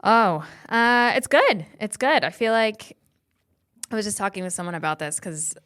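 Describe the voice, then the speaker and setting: A young American woman from Colorado, a comedian chatting casually as a podcast guest, animated and full of "like". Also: medium pitch, normal speed. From Colorado, USA. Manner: chatty, animated storytelling with lots of "like".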